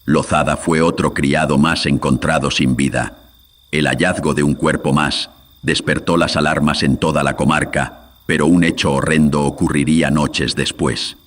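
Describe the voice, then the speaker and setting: A man's voice: very deep voice, fairly even intonation, moderate pace, noisy background. A man narrating a scripted horror radio drama in a dramatic storytelling style, with a processed studio voice. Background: loud atmospheric music.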